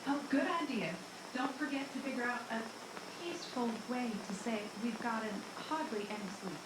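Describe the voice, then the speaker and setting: Woman acting young wife in audio drama, sweet on her husband, easily spooked, casual, highish voice, noisy background. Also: normal speed, close to an everyday voice. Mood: weary, worried. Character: young wife on vacation, affectionate, easily unnerved. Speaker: actor in an amateur radio drama. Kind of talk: naturalistic audio drama dialogue. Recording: noticeable background noise, amateur recording.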